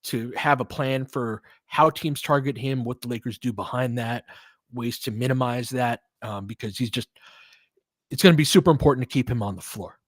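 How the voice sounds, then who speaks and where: A young man talks loosely through a game as it plays, calling the action and answering his chat by name. His voice sits at an ordinary middle pitch. The sound is dry and close, with no room in it. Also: normal speed, no echo. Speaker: host of a live game watch-along stream. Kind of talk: casual live play-by-play and chat with viewers.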